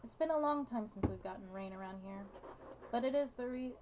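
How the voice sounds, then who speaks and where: A young woman with a slightly high voice hosts a gaming video. She chats casually and reads story text aloud, with faint noise behind her. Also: fairly quick. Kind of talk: casual chat and reading game text aloud.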